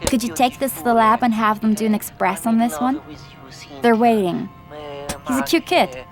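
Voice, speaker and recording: An actress playing a brisk, friendly hospital nurse in a radio drama. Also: female voice, on the high side, expressive, music in the background, close to an everyday voice.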